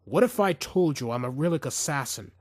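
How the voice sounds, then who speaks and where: A man's voice: fairly high pitch, animated, fairly quick, a little mellow, no room sound, clean studio sound. Male narrator as vengeful assassin, cold, menacing, taunting, simmering rage, very varied intonation, audiobook thriller. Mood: controlled rage.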